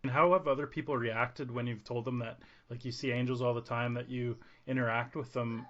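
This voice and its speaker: A man's voice: mid-range voice, level tone, a little fast, a bit bright. A man hosting a podcast, introducing the episode and asking questions in a loose, casual way with some fillers. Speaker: podcast host. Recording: muffled lo-fi recording.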